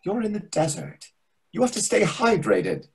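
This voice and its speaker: A man's voice: high-pitched, huge pitch swings, moderate pace, slightly rough, full character voice. A man playing a condescending, passive-aggressive waiter in a readers theatre play. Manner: sarcastic, condescending. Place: indoors on a video call.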